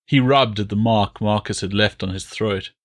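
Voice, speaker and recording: A man's voice: lowish voice, moderate pace. Man narrating a historical audiobook. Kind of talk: scripted novel narration.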